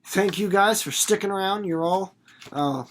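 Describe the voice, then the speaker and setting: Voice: medium pitch, normal speed, a little hiss or hum. A teacher with a mid-range voice hosts a read-aloud lesson in a loose, chatty way. The delivery rambles and circles back, repeating words for emphasis. Explanations come with playful, theatrical little demonstrations aimed at students. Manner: rambling, theatrical, with acted-out examples and sound effects. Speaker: reader and literature teacher hosting a read-aloud video series.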